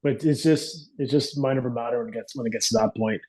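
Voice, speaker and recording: A man's voice: medium pitch, fast talker, a little mellow, low-quality recording. Plain-spoken man recounting experience casually. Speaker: guest, competitive firefighter athlete. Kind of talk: casual first-person account in conversation.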